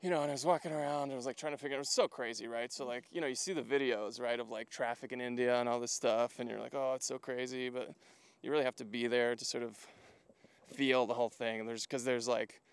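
Clear-voiced man from Hungary, rambling casually. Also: mid-range voice, steady tone, fast, no echo. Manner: rambling, filler-heavy storytelling with frequent "like". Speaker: podcast guest. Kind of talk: casual chat while walking. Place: outdoors, walking to a lookout.

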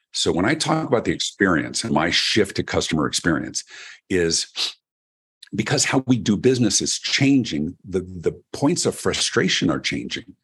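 A middle-aged man from Denver with a deep voice, telling his story as a guest on an interview show. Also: slightly rough. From Denver, Colorado, USA. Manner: animated storyteller, chatty with restarts. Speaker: keynote speaker and guest. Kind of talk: podcast interview.

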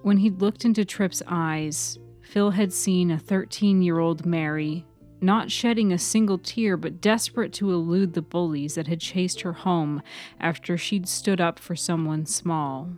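A woman's voice: lowish voice, fairly even intonation, a little slow, a little mellow, soft background music. A woman reading scripted fiction as the narrator of a serial story podcast.

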